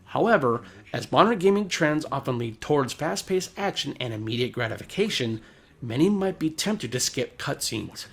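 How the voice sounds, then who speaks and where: A male narrator reads a scripted video essay. His voice sits at a medium pitch, and his delivery is polished and formal, explaining each point in full sentences. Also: lively intonation, normal speed, gravelly, fairly bright tone, slightly rough.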